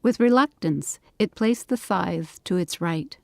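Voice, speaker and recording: A woman narrating a scripted story for an audiobook in a measured, literary reading style. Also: on the low side, vocal fry, a little mellow, no echo, studio quality.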